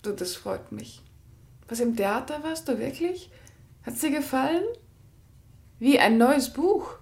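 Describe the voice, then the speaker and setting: A woman's voice: sits high, expressive, unhurried, gravelly, a little mellow, heavily acted. An actress playing a chatty, obliging married country lady in a German radio play, effusive and polite. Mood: eager, chatty.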